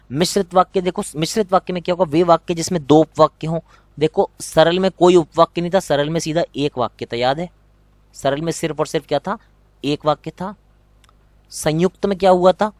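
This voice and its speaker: A young man with a Rajasthani accent teaches an exam prep lesson in a slightly higher than usual voice. He drums in key points by repeating them and tossing rhetorical questions at his students. The sound is dry and close, with no room in it. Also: dry recording.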